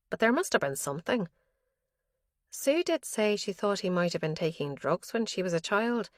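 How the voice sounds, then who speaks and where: A woman's voice: on the low side, dry recording, studio quality. A woman voicing a thoughtful male colleague who weighs up ideas and asks questions. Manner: musing, questioning.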